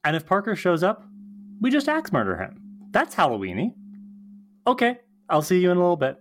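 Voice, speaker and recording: Woman as over-eager, quirky college girl, animated pitch. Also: brisk, slight background noise. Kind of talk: table read of a TV script.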